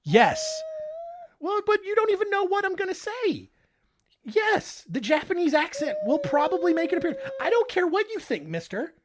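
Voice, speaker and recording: A man's voice: extremely high pitch, wildly swooping pitch, moderate pace. A young man hosting a casual solo podcast, making joking, playful asides. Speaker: podcast host.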